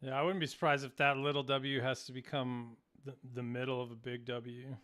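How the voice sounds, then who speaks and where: A man's voice: mid-range voice, flat delivery, brisk, a bit bright. A man co-hosting a casual crypto live stream who chimes in with short, easygoing remarks. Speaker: co-host.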